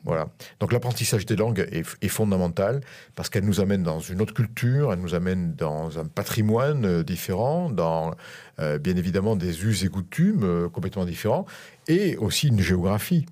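A man's voice: low-pitched, lively intonation, normal speed, a little mellow. A middle-aged man from France, speaking as an interview guest, hesitant with many fillers and restarts. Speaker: guest, school director. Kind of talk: interview on radio.

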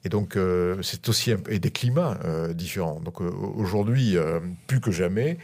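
Very deep hesitant French man. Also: normal speed, a little mellow. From France. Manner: hesitant, many fillers and restarts. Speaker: guest, school director. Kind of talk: interview on radio.

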